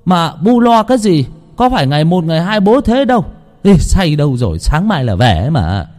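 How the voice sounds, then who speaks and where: A man's voice: a little high, big pitch swings, fairly quick, a little mellow, big-room sound, pitched above the performer's own voice. A man voicing a carefree young village son who talks in a casual, dismissive way.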